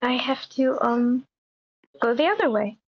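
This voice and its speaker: A brash nurse who defers to the boss , acted by a woman at a table read. The voice is high and raspy, and the lo-fi meeting connection dulls it. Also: animated, a little slow. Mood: nervous. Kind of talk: amateur screenplay table read. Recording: muffled, lo-fi online meeting mic.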